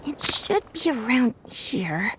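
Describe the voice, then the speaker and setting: A woman's voice: fairly high pitch, big pitch swings, takes it slowly, slight background noise, poor audio. A woman playing a proud, old-fashioned female scholar in a video game, speaking formally and a little haughtily, like a senior to youngsters. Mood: surprised. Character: proud, old-fashioned female scholar, youthful-sounding but elderly-minded. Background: faint game music.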